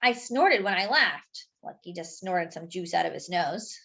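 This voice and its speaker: A woman reading a children's picture book aloud, pausing to point out details in the pictures. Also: lowish voice, expressive, creaky, bright, forceful tone. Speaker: children's storyteller.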